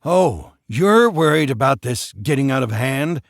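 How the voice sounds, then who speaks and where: A man voicing a gruff, sneering middle-aged father who is dismissive. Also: mid-range voice, lively intonation, a little slow, no echo, studio quality, voice pushed up.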